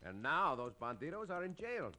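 An actor playing a confident, gallant cowboy hero with measured, formal phrasing in an old-time radio western. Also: male voice, fairly high pitch, big pitch swings, pushed and bright. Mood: warm, wry. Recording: hissy old radio recording.

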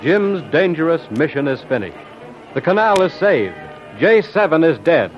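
A man's voice: a little high, sing-song, normal speed, over music. A man announcing and narrating a 1930s radio serial in a dramatic, emphatic scripted style. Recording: dull old lo-fi radio recording.

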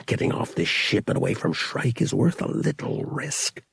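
A man voicing a tough teenage boy pilot who is nervous underneath, hushed, in an audiobook. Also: lowish voice, sing-song, moderate pace, gravelly, slightly rough, no room sound, clean studio sound, full character voice. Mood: nervous resolve. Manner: hushed, urging himself on.

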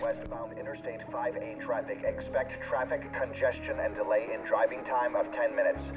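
A man's voice: medium pitch, fairly even intonation, moderate pace, lightly acted. A man playing a formal, impersonal announcer reading scripted traffic advisories on the radio. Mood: official, neutral. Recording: distant car radio broadcast, music behind.

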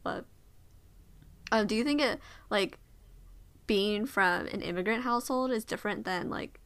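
A woman's voice: mid-range voice, a little slow, a bit bright, clean tone. A young adult woman leading a casual conversation, telling a memory in a chatty, reflective way and asking her friends follow-up questions. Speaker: discussion leader.

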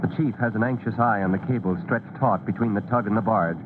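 A man's voice: fairly low pitch, fast, very noisy. Male narrator on tinny old radio. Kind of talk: dramatic scripted old-time radio narration.

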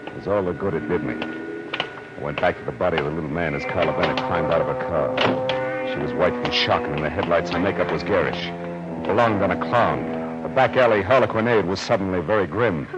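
Brief: man playing a tough American private eye for vintage radio noir, deep and rapid, wry and pushy. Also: loud music behind the voice, close to an everyday voice. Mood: grim, narrating. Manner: clipped, tough slang. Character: hard-boiled male private detective, wry and pushy. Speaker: lead detective and narrator. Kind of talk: 1940s radio noir acting and narration. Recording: muffled, noisy old radio recording.

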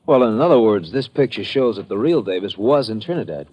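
An easygoing immigration officer talks informally and helpfully. The voice is lowish and husky, in the manner of old radio drama. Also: male voice, expressive, brisk, dull audio. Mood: matter-of-fact. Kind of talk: 1940s-50s radio drama acting.

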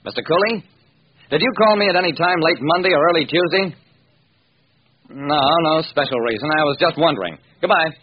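An actor playing a hard-boiled, wry private detective in an old-time radio drama, with sardonic, self-mocking, clipped tough talk. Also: male voice, fairly high pitch, expressive, brisk, slightly rough. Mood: brisk. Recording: muffled, hissy vintage radio recording.

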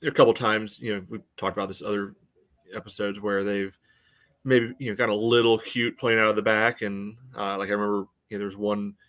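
Man, low voice, podcast co-host, casual sports talk, halting phrasing full of "you know", dry close sound. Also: level tone, a bit bright, no echo.

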